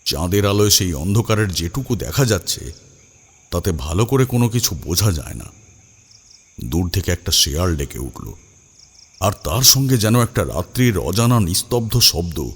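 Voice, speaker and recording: A man's voice: low voice, big pitch swings, normal speed, slightly rough. A man narrating a scripted first-person horror story in a dramatic, suspenseful manner.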